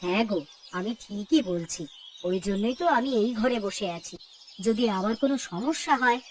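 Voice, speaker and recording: A woman voicing a caring, gently bossy older mother-in-law in a children's cartoon. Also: mid-range voice, lively intonation, normal speed, close to an everyday voice. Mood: hushed concern. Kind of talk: Bengali children's cartoon voice acting. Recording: background music under the voice.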